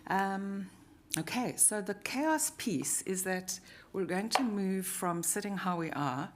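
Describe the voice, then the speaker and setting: A woman from southern Africa with a South African accent, leading a live workshop in a halting, friendly way with many pauses and fillers. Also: medium pitch. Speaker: workshop facilitator. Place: university meeting room.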